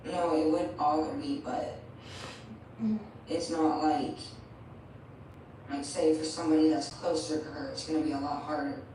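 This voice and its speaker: A man's voice: a little high, level tone, dark tone, recorded from a distance, a little hiss or hum. Hesitant young man in echoey interrogation audio. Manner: hesitant, halting. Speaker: interviewee in police questioning. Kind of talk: recorded interrogation.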